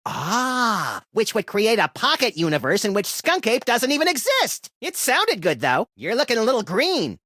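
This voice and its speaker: Small manic cartoon rabbit creature, bright forceful voice, swooping intonation, flippant wisecracks, gleeful menace, comedy game. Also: mid-range voice, wildly swooping pitch, normal speed, few pauses, no room sound, studio quality, full character voice. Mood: sardonic, flippant.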